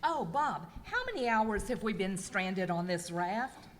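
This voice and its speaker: A woman's voice: mid-range voice, animated, measured pace, close to an everyday voice. A woman playing an exasperated, snippy castaway in an amateur radio comedy skit. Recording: echoey hall with faint noise.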